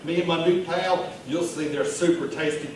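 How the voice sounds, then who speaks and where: A man's voice: fairly high pitch, fairly even intonation, moderate pace, a little mellow, distant mic, close to an everyday voice. A man performing a story monologue as a chatty, boastful small-town pet store manager, folksy, jovial and confiding. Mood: boisterous. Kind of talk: dramatic reading of short story monologue. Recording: very echoey room from afar, clear noise.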